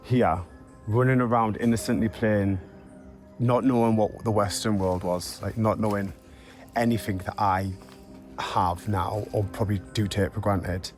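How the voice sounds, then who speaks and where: A man's voice: on the low side, steady tone, soft background music. Geordie male presenter, 30s, candid, emotional, teasing. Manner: candid, emotional, quick to tease. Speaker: reality TV presenter and narrator. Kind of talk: reflective narration and casual chat.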